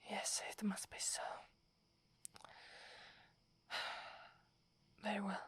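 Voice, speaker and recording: A woman with an Italian accent playing a shy, devoted young werewolf in a breathy, hesitant ASMR whisper. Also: medium pitch, monotone, a bit bright, full character voice. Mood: dutiful, resigned. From Italy. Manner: hesitant, halting, deferential. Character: devoted, shy young female werewolf serving her alpha.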